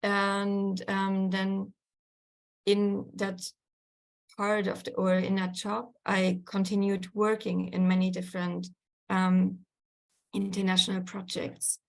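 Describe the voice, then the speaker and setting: Creaky-voiced woman lecturing online. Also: mid-range voice, monotone, slow pace. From Germany. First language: German. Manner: hesitant, many fillers and restarts. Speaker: guest lecturer. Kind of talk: online workshop presentation. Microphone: video call.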